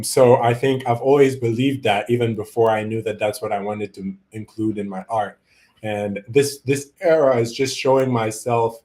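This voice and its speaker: A man from Malawi, a native Chichewa speaker, giving earnest, reflective interview answers in a halting, conversational way. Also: medium pitch, darkish tone. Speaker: interview guest, musician and visual artist. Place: his art studio, on a video call.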